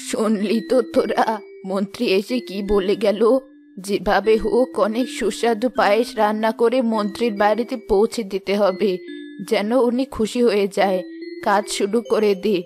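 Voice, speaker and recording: A woman acts a kind elderly village granny in a cartoon fable. She speaks in a mid-range pitch with a gentle, homely manner, over faint music. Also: fairly even intonation, moderate pace, natural voice. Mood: concerned. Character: kind, cautious village grandmother, pudding cook. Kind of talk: animated moral story voice acting.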